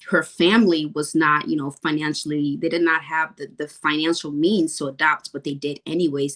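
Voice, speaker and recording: A woman's voice: low voice, fairly bright tone. A young adult woman hosting a podcast, enthusiastic and loose, with many fillers. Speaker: podcast host.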